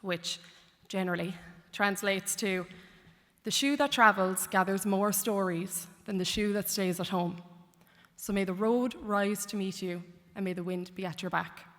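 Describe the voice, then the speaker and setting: A woman's voice: mid-range voice, a little slow. A young woman in her twenties giving a heartfelt graduation speech at a lectern, with light jokes. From Ireland. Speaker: graduate speaker. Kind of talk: commencement speech. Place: large concert hall. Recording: long hall reverb.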